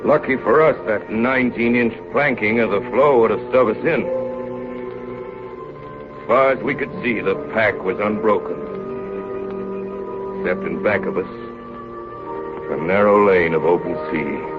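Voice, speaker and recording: An actor playing a sober seafarer who narrates a polar voyage from his journal in measured 1930s radio style. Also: fairly low pitch, music in the background, lightly acted. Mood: grave, matter-of-fact. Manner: measured, grave storytelling. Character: seasoned, sober ship's engineer recounting a polar voyage. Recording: muffled, hissy 1930s radio recording.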